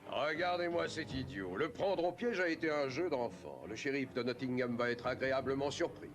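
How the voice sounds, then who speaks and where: A man's voice: medium pitch, lively intonation, moderate pace, lightly acted. A man playing a cruel soldier henchman in a cartoon, barking and sneering. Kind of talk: animated film dubbing. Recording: loud music under the dialogue.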